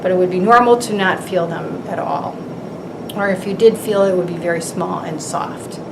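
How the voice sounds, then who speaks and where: A woman's voice: on the low side, moderate pace. A woman clinical instructor methodically explaining a physical exam as she demonstrates it, in an explanatory teaching style. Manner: methodical, explanatory, lists anatomical terms. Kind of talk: instructional demonstration, narrating while examining. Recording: roomy, distant mic, clear background noise.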